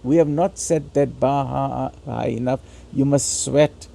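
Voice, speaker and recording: A man with a raspy voice giving a motivational religious lecture, urging listeners emphatically and repeating phrases for stress. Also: medium pitch, steady tone, unhurried, dark tone. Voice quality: raspy, gravelly.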